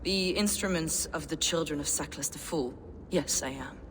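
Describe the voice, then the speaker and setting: An actress playing a serene, slyly curious heretic mystic in a video game, with reverent, archaic, measured speech. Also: female voice, low voice, slight background noise, lightly acted. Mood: sly, intrigued. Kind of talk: video game cutscene acting.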